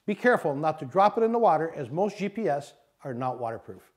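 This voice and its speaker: A man reading scripted instructional narration in a measured, didactic way, as an instructor. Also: on the high side, very animated.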